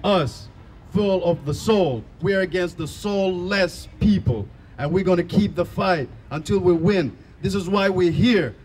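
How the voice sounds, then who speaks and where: A man's voice: high-pitched, slow pace, a little mellow, slight background noise. A young man of African origin giving a defiant speech at an outdoor rally into a handheld mic. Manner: defiant, declaratory. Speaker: rally speaker. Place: outdoors in a park.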